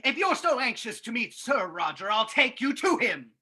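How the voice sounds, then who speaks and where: Woman playing a sharp-voiced, two-faced radio villain. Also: a little high, very animated, moderate pace. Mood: gloating, maniacal. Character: villainous Mister Darrow, meekly apologetic man turning maniacal. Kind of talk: old-time radio drama reading.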